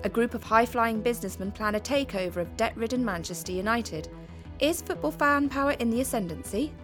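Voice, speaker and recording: A woman hosting a daily news show, presenting stories and asking people quick questions. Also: fairly high pitch, animated, music in the background. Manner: brisk, prompting questions. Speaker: podcast host and interviewer. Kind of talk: news presenting and street interviews.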